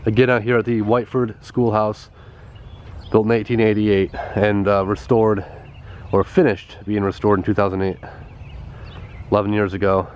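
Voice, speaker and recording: A man with a stuffed-up, congested voice, casually vlogging outdoors at a rural schoolhouse and talking in halting bits full of pauses and fillers. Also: fairly low pitch, flat delivery, muffled recording, slight background noise. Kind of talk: casual walk-around vlog narration.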